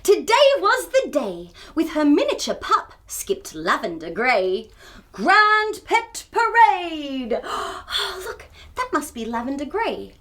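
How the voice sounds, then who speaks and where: A woman hosting a children's storytime, reading a picture book aloud in an expressive, theatrical way and chatting to young viewers. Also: sits high, takes it slowly. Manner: expressive, theatrical, with gasps and exclamations.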